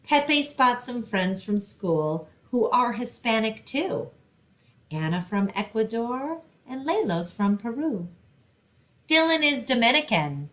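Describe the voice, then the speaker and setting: A woman's voice: a little high, big pitch swings, slow pace, a bit bright. A woman reading a picture book aloud and explaining things simply for children, as a librarian hosting story time.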